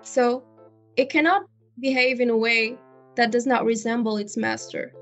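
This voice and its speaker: A woman's voice: on the high side, steady tone, moderate pace, music in the background. A woman from Morocco, a non-native English speaker, answering conversationally and articulately as an interview guest. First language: not English. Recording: dull, lo-fi audio.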